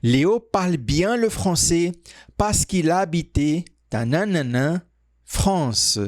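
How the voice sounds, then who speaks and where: A man teaching French, reading quiz sentences and answer options aloud slowly and carefully. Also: on the high side, animated, no echo, clean studio sound. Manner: reads sentences slowly, stretched out, pauses on options. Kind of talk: slow, clearly spoken quiz reading.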